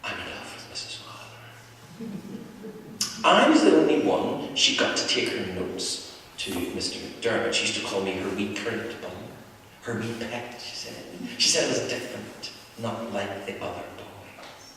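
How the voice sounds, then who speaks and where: A man's voice: normal speed, a little hiss or hum. A man playing a cheeky schoolboy. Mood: dreamy. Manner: lively storytelling, swings into high theatrical wails. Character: cheeky dreamy young schoolboy, lovestruck narrator. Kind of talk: one-man stage monologue. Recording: distant, echoey hall recording with faint ambience.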